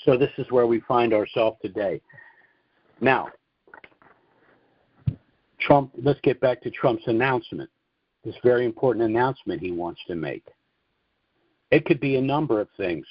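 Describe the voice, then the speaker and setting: Low-voiced man on dull call audio. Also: lively intonation, normal speed, pauses often, husky, no room sound. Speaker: conference call host. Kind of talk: informal monologue commentary and briefing. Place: conference call, dry close sound.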